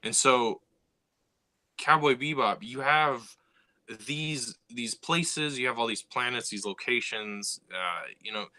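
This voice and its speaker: A man's voice: mid-range voice, slow, pushed and bright, no room sound. A man talking casually and thoughtfully about storytelling, reflecting aloud with frequent "you know" and "like". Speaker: writer and co-host. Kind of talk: casual podcast chat.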